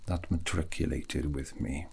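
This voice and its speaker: A man voicing a wistful, scholarly elf mage in a soft, breathy, half-whispered ASMR roleplay, speaking slowly and reflectively with long pauses. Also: a bass voice, fairly even intonation, exaggerated voice. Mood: wistful, quietly proud. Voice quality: breathy, gravelly, often slipping into whisper.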